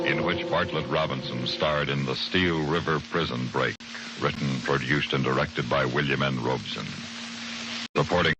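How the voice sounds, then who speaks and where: A man reading scripted credits as a 1950s radio drama announcer. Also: a bass voice. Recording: noisy old 1950s radio recording.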